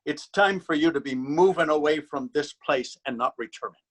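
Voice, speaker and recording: A man's voice: fairly high pitch, normal speed, lightly acted. A man acts out a beat officer in a stage reading. He is stern and weary, speaking in a somewhat raised register. His manner is admonishing and authoritative, with a bullying edge. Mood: stern, threatening. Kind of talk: readers theater stage acting.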